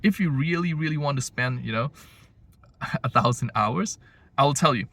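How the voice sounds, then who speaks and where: A man vlogging about language learning in a casual, unscripted way. He talks bluntly and rambles, circling back with lots of "you know" and "like". His pitch sits in the middle range. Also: normal speed, a bit bright. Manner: blunt, rambling, frequent fillers and restarts. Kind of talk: casual opinion monologue to camera. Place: home in Japan.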